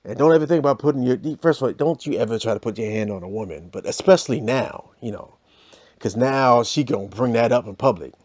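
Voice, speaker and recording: A man's voice: mid-range voice, expressive, fast talker, darkish tone, no echo, dull audio. A man hosting a podcast, telling a comic story in a loose, chatty way full of "you know"s. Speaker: podcast host. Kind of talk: comic monologue.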